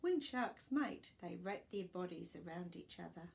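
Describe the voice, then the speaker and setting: Older woman, children's read-aloud, expressive explaining manner, very varied intonation, slightly gravelly creak. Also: lowish voice, normal speed, slight background noise. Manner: expressive, clearly phrased, explaining to young listeners. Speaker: storytime host reading aloud. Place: small furnished living room, indoors.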